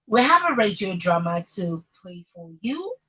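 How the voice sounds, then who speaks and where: Female radio host, Nigerian accent, informal and emphatic, bright tone with some rasp. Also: medium pitch, very animated, moderate pace. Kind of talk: chatty live radio presenting.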